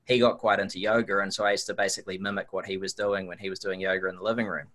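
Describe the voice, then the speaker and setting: A man's voice: low voice, little pitch movement, racing, a bit bright. A man with a New Zealand accent, a guest on a podcast, answering in an easygoing, reflective way. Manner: easygoing, reflective, some repeated words. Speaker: guest, nutrition researcher. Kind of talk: casual interview chat.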